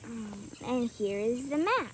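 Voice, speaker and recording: A female co-host with a high voice chatting casually and reading a children's storybook aloud in a deliberate way. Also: very animated, poor audio. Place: outdoors by a marshy trail. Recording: outdoor recording with clear insect and ambient noise.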